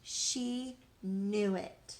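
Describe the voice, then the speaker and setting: A woman's voice: medium pitch, fairly even intonation, very slow, a little mellow. A woman leading an interactive read-aloud and lesson for young children, asking questions and answering them in an encouraging, reassuring way.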